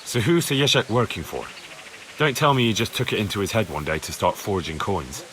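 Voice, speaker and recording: An actor playing a young, firm and blunt medieval agent of a lord in a video game cutscene, speaking plainly and assertively. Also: male voice, on the low side, animated, a little fast, a bit bright. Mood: stern. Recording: echoey hall reverb, noisy background.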